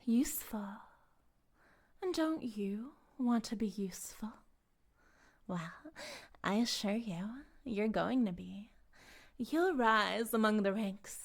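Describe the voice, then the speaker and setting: Slow, sweetly menacing female cult leader, acted by a woman. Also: medium pitch, animated, exaggerated voice. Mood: smug, coaxing. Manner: theatrical, cooing, condescending, drifting into breathy whispers. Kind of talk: intimate audio roleplay monologue addressed to the listener.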